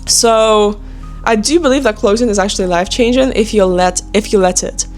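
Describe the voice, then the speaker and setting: A woman's voice: mid-range voice, normal speed, faint music behind. A young woman with a Ukrainian accent chatting casually and motivationally to viewers, in an informal, emphatic, encouraging manner. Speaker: online video creator and sales closer. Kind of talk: casual motivational chat to camera.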